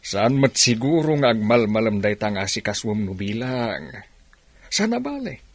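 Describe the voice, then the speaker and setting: A man playing an easygoing, teasing uncle in an Ilocano radio drama, with exclamations and drawn-out words. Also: on the low side, very animated, dry recording. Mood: persuasive.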